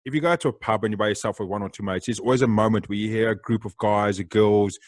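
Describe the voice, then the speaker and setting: Loud, excitable South African man. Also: fairly low pitch, no echo, clean studio sound. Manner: loud, excitable, talks fast. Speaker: podcast host. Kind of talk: casual interview chat.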